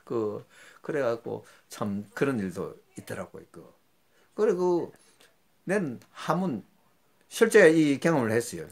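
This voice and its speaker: A man's voice: very animated, measured pace, gravelly. Older man spinning folksy tales. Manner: rambling, folksy, with sudden jumps in pitch. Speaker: channel host. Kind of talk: casual storytelling monologue.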